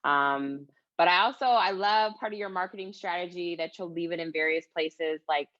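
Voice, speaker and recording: Chatty female interviewer, slightly low voice, dry close sound. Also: normal speed, fairly bright tone, no room sound, highs cut off. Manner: chatty, lots of fillers. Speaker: interviewer host. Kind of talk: casual interview.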